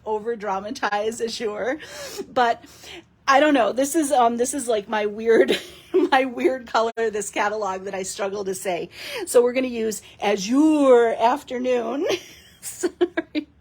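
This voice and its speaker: A hoarse woman with a raspy voice demonstrates card-making in a chatty, giggly live stream. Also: a little high. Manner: chatty, giggly, rambling with fillers. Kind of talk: casual live craft demo.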